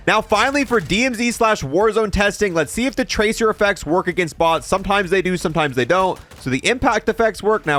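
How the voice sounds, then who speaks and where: A man's voice: sits high, fairly bright tone. A man giving casual gameplay commentary as the host of a video game review.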